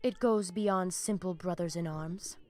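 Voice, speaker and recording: Woman playing a proud, low-voiced vampire leader. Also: a little slow, a little mellow, no room sound, natural voice. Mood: proud, composed. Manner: solemn, grandiose, threatening. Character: proud, menacing undead vampire pack leader, woman, zealous. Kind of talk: video game character dialogue. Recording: music behind the voice.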